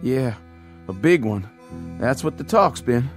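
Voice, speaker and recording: An actor playing a desperate Confederate soldier, urging and pushing in an old-time radio drama. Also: male voice, mid-range voice, expressive, measured pace, close to an everyday voice. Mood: weary. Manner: urgent, pushing. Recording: old radio recording with music.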